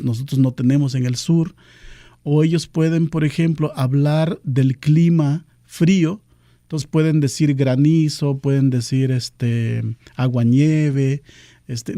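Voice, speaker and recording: A man from southern Veracruz whose first language is Nahuatl, explaining things in a measured way during a radio interview. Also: a little high, dark tone, dry recording, clean studio sound. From Pajapan, southern Veracruz, Mexico. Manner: explanatory, measured, with "este" fillers. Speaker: guest, cultural outreach official.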